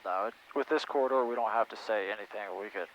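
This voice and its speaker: A man's voice: on the low side, quick pace, a bit bright. A man giving casual flight instruction through an aviation headset in a small airplane. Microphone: aviation headset intercom. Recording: dull intercom audio, faint cockpit noise.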